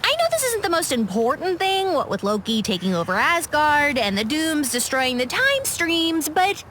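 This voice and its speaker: A woman's voice: sits high, big pitch swings, moderate pace, background noise. A woman playing an awkward, chatty young superheroine in a video game, rambling and hesitant. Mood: eager, sheepish.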